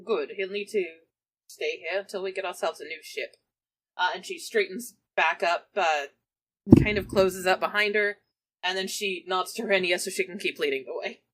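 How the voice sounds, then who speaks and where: A woman's voice: fairly low pitch, moderate pace, background noise. A woman playing a tabletop game, casually moving between describing and speaking in character.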